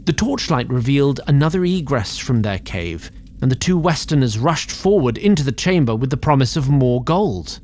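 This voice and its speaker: Male narrator, scripted fantasy storytelling, measured, dramatic, expressive mid-range voice, musical backing. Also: medium pitch, slight background noise.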